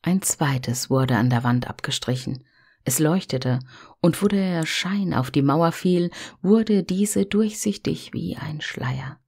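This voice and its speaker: Female storyteller, low and husky, gentle expressive fairy-tale reading. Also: takes it slowly.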